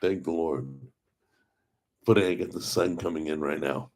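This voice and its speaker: A middle-aged man with a hoarse voice, chatting casually with viewers as host of a live cooking stream. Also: deep voice, unhurried, darkish tone, slight background noise. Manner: rambling, chatty, greets viewers by name. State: hoarse from a paralyzed vocal cord. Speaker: host of a cooking channel livestream.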